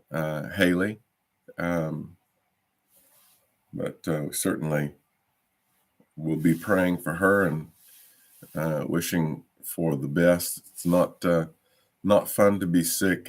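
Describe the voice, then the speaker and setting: Slow, deep-voiced man leading Bible study. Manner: hesitant, many ums and restarts. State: slightly croaky from a cough. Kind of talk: informal Bible study teaching.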